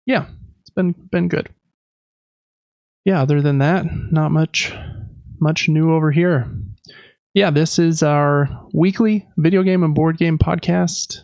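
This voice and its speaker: A man hosts a casual podcast with a gravelly, slightly raised voice. He rambles conversationally and pokes fun at himself. The recording is dry and a little muffled. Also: on the high side, steady tone, takes it slowly, pauses often, darkish tone, no room sound. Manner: rambling, self-deprecating. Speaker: podcast host. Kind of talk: casual chat about games and life.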